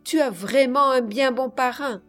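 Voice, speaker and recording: A woman voicing a willful, bossy but warm-hearted eight-year-old girl in an audiobook, insistent and exclaiming. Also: high voice, a little slow, faint music behind, voice pushed up. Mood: indignant. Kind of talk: audiobook character voice.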